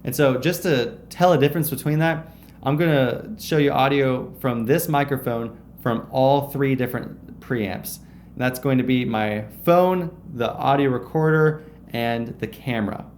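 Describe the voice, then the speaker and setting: A man's voice: medium pitch, a little slow, a little mellow, a little hiss or hum. Upbeat young man explaining to camera. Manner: upbeat, explanatory, gestures a lot while talking. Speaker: video creator teaching audio tips. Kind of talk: casual tutorial to camera. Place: small indoor room with shelves. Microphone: close shotgun mic on a stand in front.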